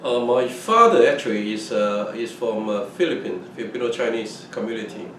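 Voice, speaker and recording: Older man with halting, restarting speech. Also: fairly low pitch, animated, fairly bright tone. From Hong Kong. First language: Fujianese (Minnan). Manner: halting, many restarts and repeated words. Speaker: oral history interviewee. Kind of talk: casual recollection. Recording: echoey room, mic at a distance, faint noise.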